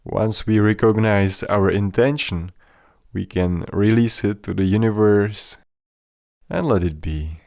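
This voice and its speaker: A man leading a guided mindfulness meditation in a gentle, measured, instructional manner. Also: on the low side, vocal fry, no echo, studio quality.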